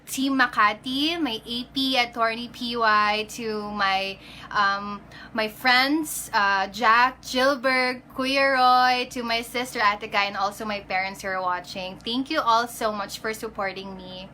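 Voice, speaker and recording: A woman's voice: a little high, fairly even intonation, a little slow, sharp tone, a little hiss or hum. A young woman in her twenties with a Filipino accent, chatting casually on a livestream, greeting viewers by name and slipping into Tagalog. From Philippines. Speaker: pageant candidate.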